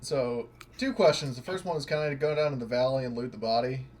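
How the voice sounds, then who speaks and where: A man's voice: medium pitch, brisk, a crowd in the background. A man playing a tabletop roleplaying game, chatting casually as a player.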